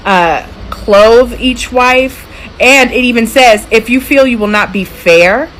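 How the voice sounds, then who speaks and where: An American woman talking casually to camera as a content creator, with an expressive, emphatic delivery. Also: on the high side, slow pace. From United States. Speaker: social media content creator. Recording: clearly noisy clip with room ambience.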